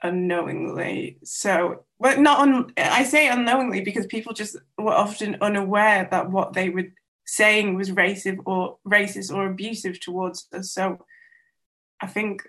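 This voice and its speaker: A woman's voice: medium pitch, steady tone, measured pace, fairly bright tone. A young woman artist answering interview questions in a thoughtful, hesitant way with many fillers. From Wales. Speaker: artist guest.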